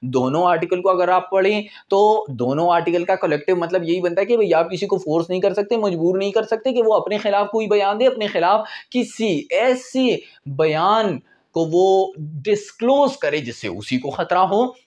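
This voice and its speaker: Higher-voiced young Pakistani man lecturing. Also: expressive, moderate pace, a little mellow. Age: 20s. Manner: explanatory, conversational, switches into English terms. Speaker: law lecturer. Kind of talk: informal lecture with acted-out examples. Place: small indoor room in front of a whiteboard.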